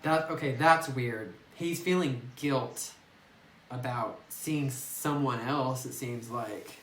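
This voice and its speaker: A man's voice: medium pitch, slow pace. A man giving casual, reflective commentary as he plays a game, thinking aloud and recorded on a phone microphone. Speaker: video game player and narrator. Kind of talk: casual playthrough commentary, reading dialogue aloud. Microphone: phone, some distance away. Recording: roomy, noisy distant phone microphone.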